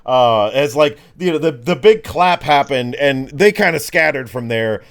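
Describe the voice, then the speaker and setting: A man's voice: medium pitch, expressive, unhurried. A man narrating a tabletop game as game master in a casual, rambling, improvised way.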